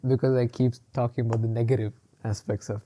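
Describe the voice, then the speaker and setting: A man's voice: medium pitch, level tone, quick pace, dark tone, a little hiss or hum. A man co-hosting a casual podcast, rambling along with lots of fillers and laughing often. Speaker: podcast co-host. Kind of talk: casual chat.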